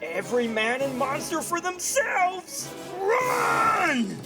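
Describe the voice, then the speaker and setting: A man's voice: very high voice, very animated, slow pace, full character voice. A man acting a blunt, exasperated ally in a fantasy adventure audio drama, given to exclamations. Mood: panicked, exasperated. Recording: music under the voice. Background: music.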